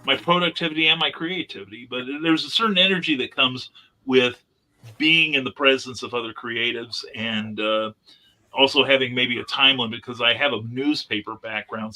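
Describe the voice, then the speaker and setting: A man's voice: mid-range voice, sharp tone, poor audio. A man giving a reflective, explanatory answer as a guest on a discussion panel.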